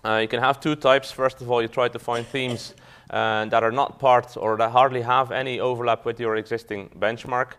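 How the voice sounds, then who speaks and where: A man's voice: mid-range voice, steady tone, normal speed, fairly bright tone. A man from Belgium, a fund manager giving a business talk to an audience, hesitant with frequent fillers and restarts.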